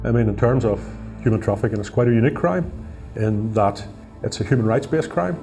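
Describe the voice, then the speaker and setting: A man, explaining his work with trafficking victims in an earnest, deliberate documentary interview. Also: medium pitch, animated. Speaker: support worker for trafficking victims. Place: office interview setup. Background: soft background music.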